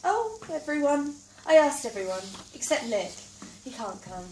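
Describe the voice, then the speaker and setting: A woman reading plays aloud as an amateur actor, brisk and chatty. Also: high-pitched, very animated, a little mellow, slight background noise. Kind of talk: play reading, theatrical. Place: small theatre stage. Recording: roomy theatre from far off.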